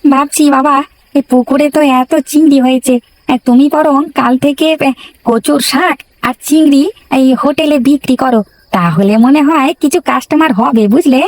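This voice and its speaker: A woman's voice: sits high, moderate pace, darkish tone, dry recording, poor audio. A woman voicing a cheeky, enterprising village boy who hawks food in a cartoon folktale, chatty and insistent. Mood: eager. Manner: chatty, insistent, sing-out hawker calls. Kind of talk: Bengali cartoon folktale voice acting.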